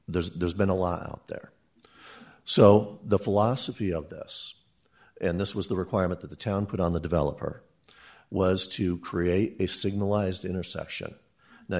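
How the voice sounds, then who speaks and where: A man who is a town engineer, speaking into a handheld microphone at a public meeting, explaining plainly with some restarts and a loud voice. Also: deep voice.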